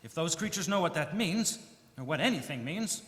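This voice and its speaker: A man's voice: fairly high pitch, lively intonation, normal speed, a bit bright. A man playing a horrified radio news reporter giving a stammering, dramatic eyewitness account in a live old-time radio drama. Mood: tense. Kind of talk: live radio drama acting, 1930s news bulletin manner. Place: live stage performance in a church. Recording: reverberant church hall.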